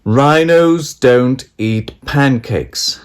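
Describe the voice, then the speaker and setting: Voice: medium pitch, drawn-out words, clear, resonant tone, pitched above the performer's own voice. An adult storyteller voicing a dismissive, mocking father in a children's picture book.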